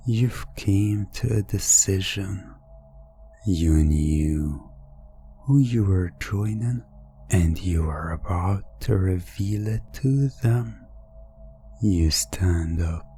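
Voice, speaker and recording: A man narrating a scripted hypnosis ASMR story in a hushed, deliberate, dramatic manner. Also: deep voice. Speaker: narrator of a hypnosis audio roleplay.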